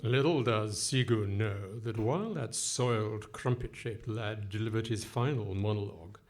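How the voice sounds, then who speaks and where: A man's voice: fairly low pitch, normal speed, a little mellow, natural voice. A man playing a sly, theatrical villain in a radio comedy parody. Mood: gloating. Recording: faint noise, live hall stage.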